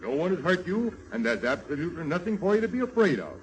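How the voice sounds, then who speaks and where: An actor playing a bossy, smooth-talking medicine show kidnapper in a coaxing showman's manner, in 1930s radio serial style. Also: on the high side, very animated, fast talker, rough recording. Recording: old radio serial recording.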